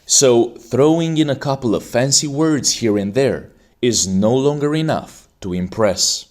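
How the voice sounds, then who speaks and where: A man hosts an English exam-prep podcast and acts as examiner. His delivery is formal and teacherly, and his pitch moves a lot. His voice sits at a middle pitch for a man. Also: animated, measured pace, a little mellow. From Romania.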